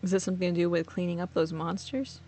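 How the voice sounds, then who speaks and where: A woman's voice: lowish voice, little pitch movement, quick pace, warm tone, slight background noise. A young woman giving casual let's play commentary, blunt and sweary as she muses aloud. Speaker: game streamer.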